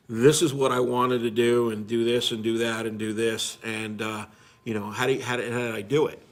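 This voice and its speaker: A man's voice: medium pitch, fairly bright tone. A man chatting casually as a firefighter guest, reflective and repeating phrases as he tells stories from the job. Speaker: firefighter and driver being interviewed. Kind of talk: casual storytelling.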